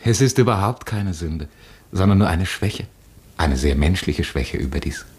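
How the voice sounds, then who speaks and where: Very deep, husky, earnest chaplain. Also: male voice, lively intonation, moderate pace, a little mellow. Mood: consoling. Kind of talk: German radio play acting.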